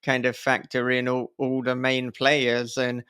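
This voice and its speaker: A man hosting a casual interview podcast, speaking in halting, thoughtful phrases as he talks with a guest. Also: mid-range voice, monotone, bright, forceful tone, clear, resonant tone.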